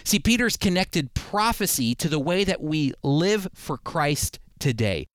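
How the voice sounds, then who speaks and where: A man's voice: on the high side, very animated, unhurried, dry recording, studio quality. A man preaching a sermon, addressing listeners directly and repeating key points for emphasis. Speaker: preacher and Bible teacher.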